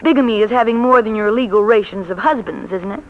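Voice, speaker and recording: A woman's voice: mid-range voice, fast talker, natural voice. An actress playing a teasing, self-possessed lady novelist in a 1940s radio romance. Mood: coy, teasing. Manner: coy, playful. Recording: dull, noisy vintage radio recording.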